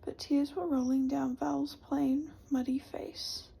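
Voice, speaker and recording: A woman reading a story aloud in a hushed whisper. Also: high voice, fairly even intonation, slow pace, creaky.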